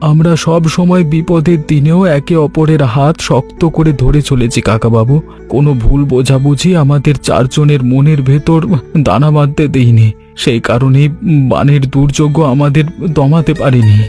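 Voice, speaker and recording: A man's voice: on the high side, moderate pace, dark tone, soft background music, lightly acted. A man voicing an honest, grateful village shopkeeper in a cartoon story, speaking in an earnest, deferential way. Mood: solemn, devoted. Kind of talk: Bengali animated story voice acting.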